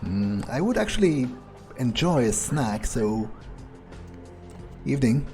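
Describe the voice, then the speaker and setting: A man roleplays a cynical, smug vampire muttering his thoughts with dry, halting sarcasm. His voice is of middle pitch, over clear game music. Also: sing-song, darkish tone. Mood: musing. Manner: halting, musing, sardonic. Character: smug cynical male vampire, talking to himself. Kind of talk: in-character gameplay roleplay, improvised muttering. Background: video game music.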